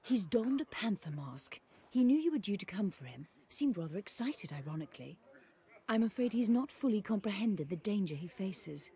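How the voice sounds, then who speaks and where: A woman's voice: mid-range voice, very animated, normal speed, warm tone, breathy edge, heavily acted. A woman playing a composed older noblewoman in a fantasy video game, formal and polite with a dry wit. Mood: wry, resigned. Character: genteel, composed older noblewoman, wry widowed mother. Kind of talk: video game character acting.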